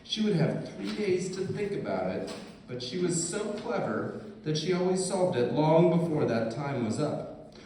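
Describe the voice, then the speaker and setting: Echoey, slow-paced man narrating a story. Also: fairly high pitch, sing-song, warm tone. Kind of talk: scripted puppet show narration read aloud. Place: indoor live puppet show, far from the mic. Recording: distant, echoey, noisy live room.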